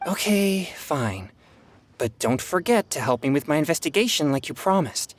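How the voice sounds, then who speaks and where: A man voicing an earnest, reluctant teenage exorcist boy in an animated game, plain-spoken and matter-of-fact. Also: a little high, normal speed. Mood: grudging.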